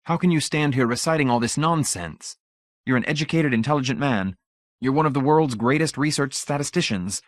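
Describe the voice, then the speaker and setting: A man's voice: lowish voice, brisk, a bit bright, no room sound, natural voice. A man voicing a young, exasperated and weary statistician in an audiobook, blunt and emphatic. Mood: outraged, shouting.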